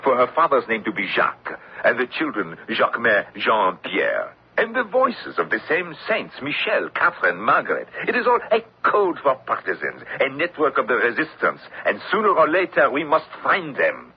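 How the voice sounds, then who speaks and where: An actor playing a hard, suspicious wartime French official in a radio drama, arguing with emphatic insistence. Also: male voice, mid-range voice, wildly swooping pitch, normal speed, husky, heavily acted. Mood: insistent. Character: hard, suspicious French occupation-era official, middle-aged, relentless skeptic. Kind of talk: old-time radio drama acting. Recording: dull lo-fi radio broadcast recording.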